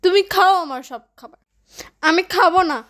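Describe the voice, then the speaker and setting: A woman's voice: very high-pitched, normal speed, fairly bright tone. A woman voicing a headstrong, dramatic teenage daughter in a cartoon, with a whiny, theatrical delivery. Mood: defiant, huffy.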